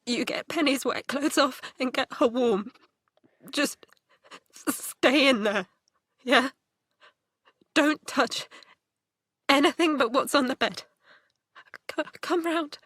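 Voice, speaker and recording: An actress playing an overwhelmed, desperate mother in a naturalistic audio drama, halting and stammering under strain. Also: high-pitched, fairly bright tone, no room sound. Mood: shaken, practical. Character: overwhelmed, desperate mother struggling to protect her children.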